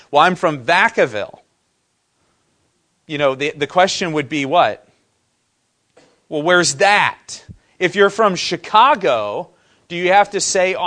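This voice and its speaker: A man's voice: a little high, a bit bright. A man from preaching a sermon, often putting questions to the congregation. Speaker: pastor.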